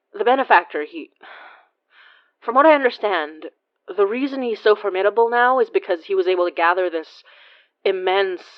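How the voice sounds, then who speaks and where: A woman in her twenties playing an awkward, self-deprecating young woman in a naturalistic audio drama, rambling haltingly with sighs. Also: mid-range voice, lo-fi sound, close to an everyday voice. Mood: more serious. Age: 20s. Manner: halting, sighing, rambling confessional. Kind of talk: naturalistic audio drama acting, phone monologue.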